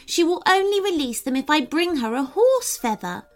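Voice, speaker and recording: A woman's voice: high-pitched, very animated, moderate pace, faint music behind, voice pushed up. A woman voicing a tiny, sad female fairy for a children's storybook, timid, sniffling and very polite. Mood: sad, despairing.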